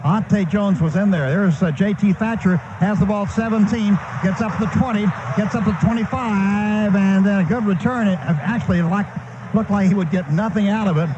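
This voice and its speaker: A high-voiced American man calls a football game live on the radio. He describes each movement in short, running bursts. The broadcast sounds dull and lo-fi, with clear noise behind him. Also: moderate pace. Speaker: play-by-play radio announcer. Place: stadium broadcast booth.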